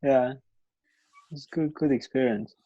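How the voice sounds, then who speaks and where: A man's voice: medium pitch, unhurried, warm tone, dull audio, a little hiss or hum. A man from Latvia, a comedian guest chatting hesitantly on a podcast, with many restarts and fillers. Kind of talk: casual podcast chat.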